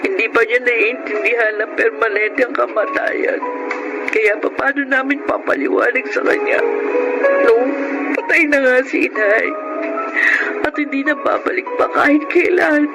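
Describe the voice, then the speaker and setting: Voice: medium pitch, a little slow, creaky, rough recording. An actor playing a grief-stricken, worried elder sibling in a Filipino radio drama, speaking mournfully and earnestly. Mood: worried, grieving. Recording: loud music bed under the voice.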